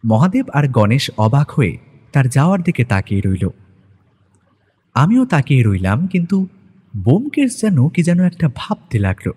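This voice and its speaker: Male narrator, medium pitch, husky tone, dramatic scripted storytelling. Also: wildly swooping pitch, moderate pace, pauses often, mellow.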